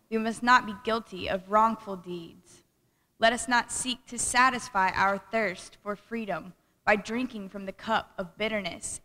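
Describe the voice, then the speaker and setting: A woman's voice: medium pitch, sharp tone. A woman giving a formal dramatic reading of a speech as a guest reader. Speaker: guest reader at a commemorative event. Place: large indoor hall at a college event. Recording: reverberant hall, long echo.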